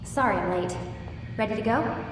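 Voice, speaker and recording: A woman's voice: medium pitch, moderate pace, close to an everyday voice. A woman playing a busy, businesslike young lab assistant in a video game. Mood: apologetic, brisk. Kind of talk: video game cutscene acting. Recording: echoey room, faint background noise.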